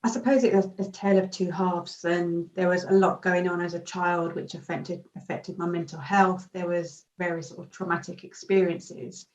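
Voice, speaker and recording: A woman speaking as a panel guest in a quiet, measured and hesitant way. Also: fairly low pitch, steady tone. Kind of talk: video panel discussion. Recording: distant, roomy, dull audio.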